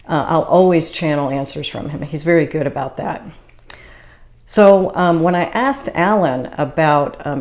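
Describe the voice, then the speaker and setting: A woman from the Boston area, a spiritual channeler hosting her own video, explaining things in a calm, casual monologue. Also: low-pitched. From Boston area, USA.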